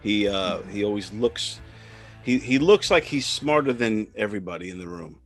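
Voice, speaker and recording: A man hosting a casual chat, speaking in halting phrases and often repeating words. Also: on the low side, expressive, faint music behind. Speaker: host.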